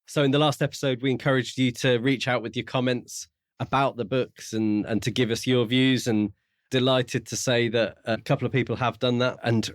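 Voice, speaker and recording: A man co-hosting a podcast, talking through books in a casual, measured way as he thinks aloud. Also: medium pitch, fairly even intonation, dry recording, clean studio sound. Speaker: podcast co-host. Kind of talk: casual book discussion.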